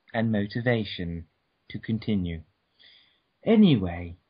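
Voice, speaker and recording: A man's voice: high voice, no room sound. A man giving an over-the-top dramatic reading of an article, stumbling over words and breaking off for joking asides, on a dull lo-fi home recording. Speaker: reader. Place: room at home.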